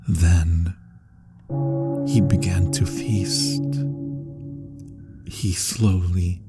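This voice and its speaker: A man voicing an anguished vampire in a hushed, deliberate dramatic ASMR roleplay monologue, with pauses and breathy phrase endings. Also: mid-range voice. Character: anguished male vampire, formerly human, confessing to lost love.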